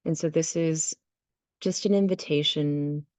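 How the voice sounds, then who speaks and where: A woman leading a guided visualization and meditation, speaking gently and unhurriedly in short phrases with many pauses. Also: sits low, steady tone, no room sound. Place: online video session.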